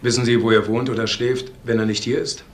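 A man's voice: mid-range voice, level tone, a little fast, close to an everyday voice. An actor playing a male police investigator, polite, reserved and probing, in a German crime radio play. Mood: questioning. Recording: echoey room, noisy broadcast.

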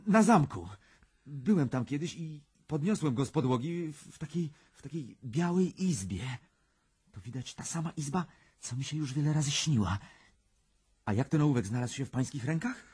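Raspy, volatile troubled young man. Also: on the high side, animated, mellow. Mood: evasive, halting. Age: young adult. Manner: halting, agitated. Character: troubled, unstable young man under questioning. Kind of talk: radio drama acting.

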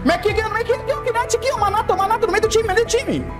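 A young Brazilian man doing excited live game commentary, repeating himself and swearing in slang. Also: very high-pitched, racing, over loud music. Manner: excitable, repetitive, heavily profane slang. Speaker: gaming streamer. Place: indoor room, webcam streaming setup.

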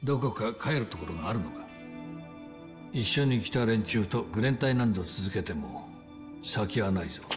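An actor playing a composed middle-aged yakuza boss in a dramatic game scene, measured, grave and authoritative. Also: male voice, lowish voice, expressive, natural voice. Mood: stern, grave. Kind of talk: dramatic video game acting in Japanese. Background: music.

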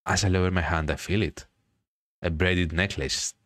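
A man's voice: low-pitched, fairly even intonation, moderate pace, fairly bright tone, no room sound, studio quality. A man voicing a frightened young woman who narrates her ordeal dramatically in a horror visual novel. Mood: dread. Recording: music behind the voice.

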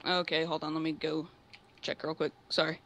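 A man's voice: fairly high pitch, monotone, normal speed, a bit bright. A young man on a call, answering politely and deferentially as a customer. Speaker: prank caller. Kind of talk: polite phone conversation. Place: online voice call.

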